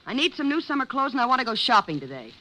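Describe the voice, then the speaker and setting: An actress playing a sharp-tongued, indignant wealthy wife in a 1950s radio drama, theatrical and cutting. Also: female voice, fairly high pitch, sing-song, rapid-fire, rough recording. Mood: impatient. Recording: old radio recording with background noise.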